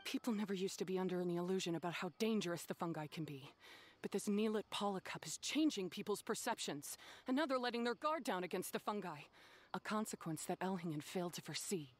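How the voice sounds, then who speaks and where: An actress playing a stern, resolute young woman in a video game, speaking in a measured, formal, disdainful way. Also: medium pitch, lively intonation, lightly acted. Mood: measured, grave.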